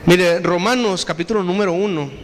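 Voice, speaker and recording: A man with a Mexican Spanish accent preaching a sermon. He addresses the congregation again and again and reads scripture slowly and with emphasis. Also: sits high, noisy background. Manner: preaching with repeated appeals to the congregation, slow emphatic scripture reading. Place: church hall. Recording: reverberant hall.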